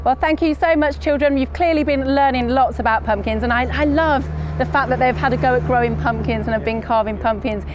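A woman's voice: high voice, fairly quick, few pauses, background noise. A woman in her thirties presenting a live online farm field trip for schoolchildren in an upbeat, encouraging way, recorded on a lapel mic in a polytunnel. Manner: upbeat, encouraging, addresses children.

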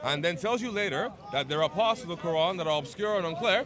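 A man with a high, lively voice argues in an outdoor debate, laying out his points in a measured, step-by-step way. A busy crowd chatters all around him. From Nigeria. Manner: measured, lays out arguments step by step. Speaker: street debater. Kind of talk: public street debate.

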